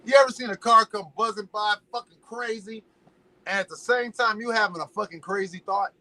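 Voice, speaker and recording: A man from Los Angeles chatting casually as a podcast guest, an animated, slangy storyteller who drifts into preachy musings. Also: high-pitched, fairly quick. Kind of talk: casual chat.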